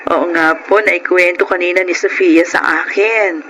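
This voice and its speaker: A woman's voice: on the low side, steady tone, normal speed, slight background noise, natural voice, low-quality recording. An actress with a Filipino accent playing a kind, reassuring woman in an emotional radio drama. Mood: friendly, polite. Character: kind, reassuring woman, schoolteacher and farmer's wife. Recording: loud dramatic music bed. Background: loud music.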